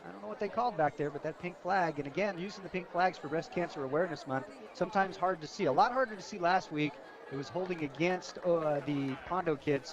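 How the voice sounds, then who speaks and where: An American man provides color commentary on a radio football broadcast. His voice is a little higher than average for a man, and he talks in chatty, informal asides. The sound is of an outdoor stadium, with the crowd clearly heard behind him. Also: highs cut off. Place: outdoors at a high school football game.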